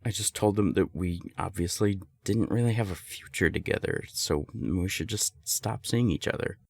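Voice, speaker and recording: A man's voice: sits low, moderate pace, vocal fry. A young man acting a candid serial-dater friend who is secretly smitten, conversational and emphatic, in an intimate audio roleplay. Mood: defensive. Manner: conversational, hesitant, emphatic swearing.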